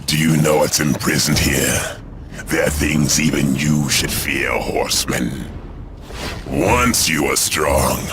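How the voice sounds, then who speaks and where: An actor playing a menacing, gloating monster guard in a fantasy game, with an ominous, taunting delivery. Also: male voice, a bass voice, background noise, full character voice. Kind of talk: dramatic fantasy game acting. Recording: music behind the voice.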